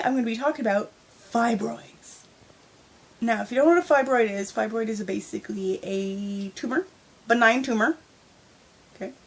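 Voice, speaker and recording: A young woman vlogging from her bed on a handheld phone, talking candidly with lots of fillers, stuffy from a head cold. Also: mid-range voice. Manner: chatty and candid, with frequent fillers. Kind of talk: casual storytime vlog. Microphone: handheld phone at arm's length.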